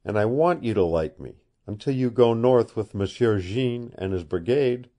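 A weary young woman around twenty, holding back secrets, speaking low and tired with a pleading softness, as a male narrator lifts his voice to play her. The voice sits well above the reader's own deep tone. It has a storybook feel. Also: expressive, moderate pace, lightly acted.

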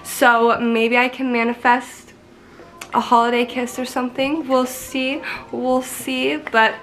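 A woman's voice: fairly high pitch, little pitch movement, takes it slowly, fairly bright tone, faint music behind, rough recording. A young woman in her twenties chatting casually to the camera in a small apartment, congested from a cold, bubbly and chatty with casual swearing. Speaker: vlogger. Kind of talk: casual vlog chat to camera. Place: small apartment bedroom, indoors. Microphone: camera in front, close framing.

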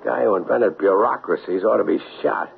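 An actor playing a hard-nosed, harried police sergeant in a radio drama, blunt and urgent. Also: male voice, on the low side, fairly quick, vocal fry. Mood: urgent, exasperated. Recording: dull, noisy old radio broadcast.

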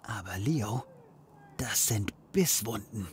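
An actor playing a flippant, sarcastic private detective in a comedy audio drama, joking and theatrical. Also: male voice, lowish voice, expressive, slow, a little hiss or hum. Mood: joking, then serious.

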